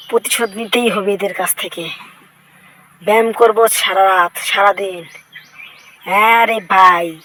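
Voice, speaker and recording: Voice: mid-range voice, fairly bright tone, a little hiss or hum, rough recording. A voice actor dubbing a boastful, vengeful cartoon cat in speech, exclaiming, whining and bragging to himself in a comic fan-dub style. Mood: indignant, vengeful.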